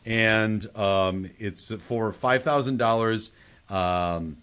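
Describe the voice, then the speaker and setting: A man's voice: fairly low pitch, extremely slow, a bit bright, clean tone. A man hosting a podcast, talking through a film in a casual, conversational way and handing questions to the others. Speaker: podcast host.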